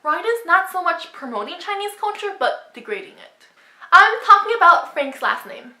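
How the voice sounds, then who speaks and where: A woman with a high, very lively voice speaks straight to camera like an opinionated vlogger. She makes her points emphatically, and the room around her sounds echoey. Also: moderate pace. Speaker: book vlogger. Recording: roomy echo.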